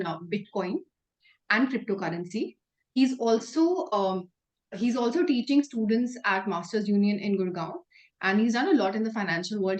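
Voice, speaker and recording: A woman's voice: mid-range voice, darkish tone. Polite young woman interviewing on a call. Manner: polite, slightly hesitant. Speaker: interviewer, student. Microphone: video call.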